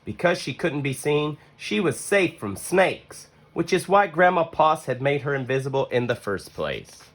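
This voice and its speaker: A man reading a children's story aloud as an expressive narrator, with deliberate pauses between phrases. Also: fairly high pitch. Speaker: storybook reader.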